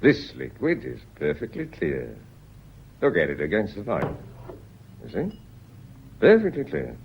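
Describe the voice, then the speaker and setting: An actor playing a courteous but relentless police inspector in a radio play, questioning in a deliberate, insistent way. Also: male voice, deep voice, huge pitch swings, creaky, slight background noise, exaggerated voice. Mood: measured, probing.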